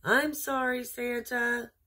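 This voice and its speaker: Tired, dutiful horse for a storybook, played by a woman: apologetic, worried, pitched up, husky. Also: flat delivery, slow pace, sharp tone, full character voice.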